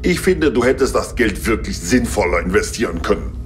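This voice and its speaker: A man's voice: on the low side, lively intonation, brisk, faint music behind, natural voice. A man playing a grumpy, sardonic male sidekick. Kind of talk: audio drama acting.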